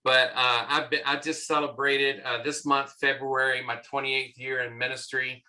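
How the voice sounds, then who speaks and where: Bright-voiced Southern man telling stories. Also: medium pitch, little pitch movement, moderate pace. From American South. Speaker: church consultant and conference leader. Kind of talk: podcast interview guest.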